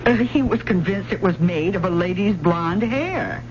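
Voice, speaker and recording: An actress playing an inquisitive young college student in an old-time radio drama, stammering, tentative and polite. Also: female voice, mid-range voice, expressive, normal speed, close to an everyday voice. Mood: nervous, probing. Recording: dull, faintly noisy old radio recording.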